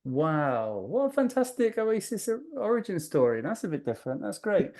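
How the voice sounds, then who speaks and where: A man hosting a podcast, chatting in a friendly, rambling way with quick asides. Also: sits high, sing-song, darkish tone. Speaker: podcast host. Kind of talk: casual chat. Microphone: video call.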